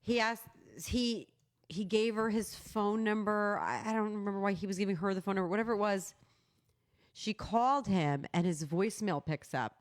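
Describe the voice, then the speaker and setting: A woman's voice: mid-range voice, moderate pace. A woman co-hosting a talk show, chatting and telling stories in a playful, informal, rambling way with some swearing. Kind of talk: casual chat and storytelling.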